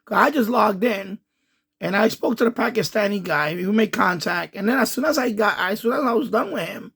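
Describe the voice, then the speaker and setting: A man's voice: high-pitched, expressive, brisk, vocal fry, fairly bright tone, husky. A man hosting a ham radio channel, talking casually and rambling with many restarts and repeats. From United States. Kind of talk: casual commentary to camera.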